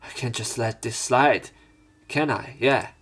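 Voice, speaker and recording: A man's voice: medium pitch, fairly even intonation, a little slow, a bit bright. A man reading visual novel text aloud and breaking off for casual, sweary let's play commentary. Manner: casual, sweary interjections between read lines.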